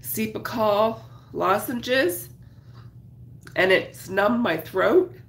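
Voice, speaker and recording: A woman in her thirties with a cold, raspy and congested, chatting casually with viewers on her home livestream while crocheting. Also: medium pitch, drawn-out words, echoey room. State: congested, sore throat. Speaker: craft livestream host. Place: small home craft room, indoors.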